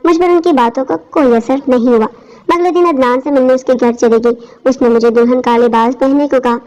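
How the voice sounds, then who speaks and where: A woman's voice: high voice, fairly even intonation, brisk, darkish tone. A woman reading a scripted first-person story as its narrator. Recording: dull lo-fi audio.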